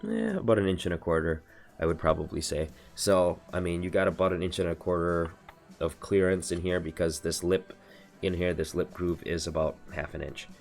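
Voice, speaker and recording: A deep, gravelly American man with a stuffy nose from allergies. He streams from his home desk and rambles casually, full of ums and restarts. Faint music sits low behind him. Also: moderate pace, darkish tone. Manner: rambling, many fillers and self-corrections. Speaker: streamer reviewing card game accessories. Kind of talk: casual product review livestream.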